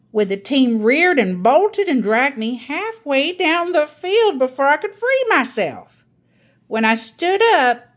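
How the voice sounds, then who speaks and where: A woman's voice: high-pitched, pitched above the performer's own voice, exaggerated voice. A woman voicing a spirited old grandmother who tells wondrous tales in a folksy, dramatic storybook style. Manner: folksy, dramatic, savoring suspense. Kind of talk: storybook read-aloud character.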